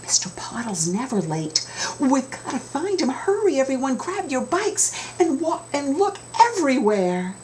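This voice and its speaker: A woman voicing excited, eager children in a storybook read-aloud, with exclamatory delivery. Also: high voice, measured pace, a little hiss or hum, pitched above the performer's own voice. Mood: worried, urgent.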